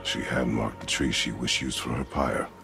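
Give the impression of a huge, battle-hardened father in a cinematic game. His voice is extremely low and heavy with gravel. He speaks in stern, clipped, formal commands, with music behind him. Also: steady tone, moderate pace, pushed and bright, heavily acted. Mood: curt. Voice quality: gravelly, rumbling. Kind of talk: cinematic video game acting.

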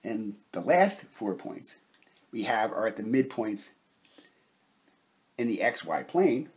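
Husky man teaching step by step. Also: fairly low pitch, very animated, takes it slowly. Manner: methodical, explanatory. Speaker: chemistry lecturer. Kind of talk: step-by-step whiteboard lesson narration.

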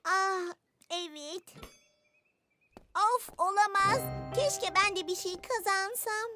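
A woman voicing an earnest, stubbornly independent little preschool girl in a children's cartoon dub, halting when unsure. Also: very high voice, over music. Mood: wistful.

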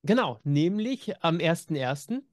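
Male co-host, middle-aged, slightly high voice, dry close sound, chatty, enthusiastic, casual. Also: big pitch swings, measured pace, no room sound. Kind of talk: casual co-hosted chat about new audio drama releases. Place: home room with media shelves, over video call.

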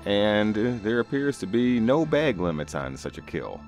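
A man's voice: fairly low pitch, big pitch swings, moderate pace, a little mellow. A middle-aged man narrating a first-person spooky story in a conversational, folksy way. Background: music.

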